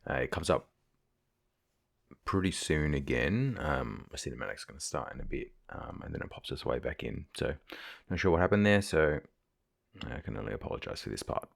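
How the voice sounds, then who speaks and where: A man narrating game quest logs in a relaxed, scripted storytelling style. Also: extremely low pitch, normal speed, vocal fry, no room sound, studio quality. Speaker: gaming video creator narrating.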